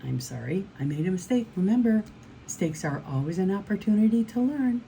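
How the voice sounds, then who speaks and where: A woman's voice: mid-range voice, sing-song, fairly quick, creaky, mellow, slight background noise, pitched above the performer's own voice. A woman voicing an eager, helpful boy with maps in a children's storybook, upbeat and reassuring. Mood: apologetic.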